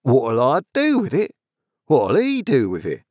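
A man voicing a sullen, insolent journeyman in his twenties, growling gruffly in rustic working-class speech for a storybook. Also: medium pitch, expressive, a little slow, no room sound, studio quality, pitched above the performer's own voice, close to an everyday voice. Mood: aggrieved. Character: surly sullen journeyman blacksmith, about twenty-five, resentful and insolent.

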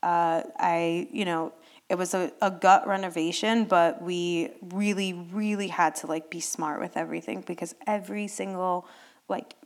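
A woman's voice: on the low side, fairly even intonation, moderate pace. A woman talking candidly as a guest on an entrepreneurs' panel, chatty and down to earth. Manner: candid, frugal-minded, talkative. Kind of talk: panel discussion storytelling.

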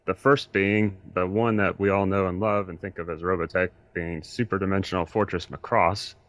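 A man's voice: low voice, steady tone, moderate pace, a bit bright, no echo. A middle-aged man, chatting casually and explaining things as an expert guest.

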